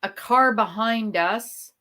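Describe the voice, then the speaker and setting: A woman's voice: on the high side, slow pace, bright, forceful tone. A middle-aged woman hosting a livestream, telling stories in a chatty, rambling way with frequent ums. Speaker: livestream host. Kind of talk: casual chat on a livestream.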